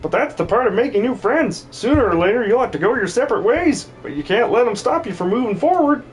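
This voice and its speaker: A man's voice: extremely high pitch, animated, fast talker, a little hiss or hum, pitched above the performer's own voice. A man voicing a sweet, bubbly young farm girl in a video game dub. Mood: wistful.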